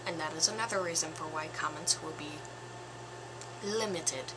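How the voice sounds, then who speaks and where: A woman in her twenties with a slightly raspy voice, giving her opinion in a casual vlog, slow and thoughtful with pauses and fillers. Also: low-pitched, background noise. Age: 20s. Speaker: vlogger.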